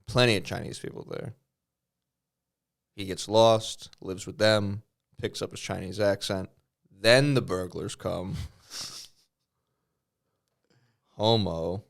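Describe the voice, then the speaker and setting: A man's voice: fairly low pitch, slow, vocal fry, long pauses. A man co-hosting a comedy podcast, joking casually, riffing on bits and laughing often. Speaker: podcast co-host.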